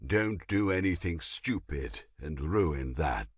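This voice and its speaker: A man's voice: extremely low pitch, expressive, takes it slowly, slightly rough, no room sound, studio quality, heavily acted. A man playing a middle-aged, pompous, scheming naval captain and shady fence in a video game, theatrical and unctuous as he savors his words. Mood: smug, playful. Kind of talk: video game character dialogue.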